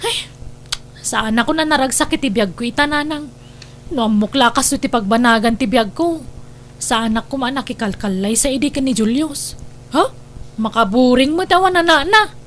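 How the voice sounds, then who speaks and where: An actress playing a discontented young wife in a family radio drama, fretful, insistent and complaining. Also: female voice, high voice, moderate pace, fairly bright tone, slight background noise.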